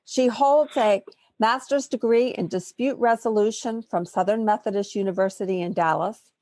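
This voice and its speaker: A woman hosting an interview, reading a formal guest introduction in a measured way and asking questions. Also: mid-range voice, a little mellow, dry recording. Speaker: podcast host.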